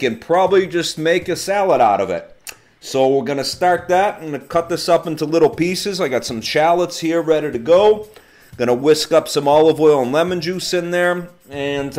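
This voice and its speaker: A man's voice: on the high side, normal speed, faint music behind. A man talking casually as a podcast host, walking listeners through cooking step by step in an informal, conversational way. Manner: informal, conversational, describes steps as they go.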